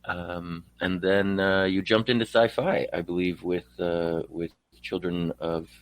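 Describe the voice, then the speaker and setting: A man from Poland interviewing a guest on a book podcast in a conversational, somewhat halting way. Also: deep voice, level tone, no room sound.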